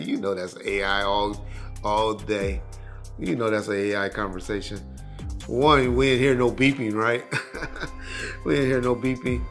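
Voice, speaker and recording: A man talks in a loose, conversational way, circling back and restarting as he makes his case. His pitch sits in an ordinary middle range. Faint music plays softly underneath. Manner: informal, restarts often, chuckles through points. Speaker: commentator on a podcast clip. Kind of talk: casual spoken commentary.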